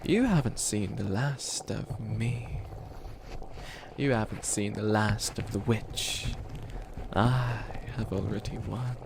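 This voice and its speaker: Man playing a creaky, comically evil witch. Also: fairly low pitch, takes it slowly, noisy background. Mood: grandiose menace. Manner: theatrical villain declarations breaking into rambling, self-doubting asides. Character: comedic evil witch villain, grandiose yet bumbling and fussy. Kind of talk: comedic ASMR character roleplay.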